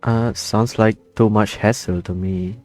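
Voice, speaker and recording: Chill guy reacting to a game, slangy, really slow halting talk, faint music behind. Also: lowish voice, fairly even intonation, a little mellow. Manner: slangy, laid-back, hesitant phrasing. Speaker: gaming streamer. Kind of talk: casual gameplay reaction commentary.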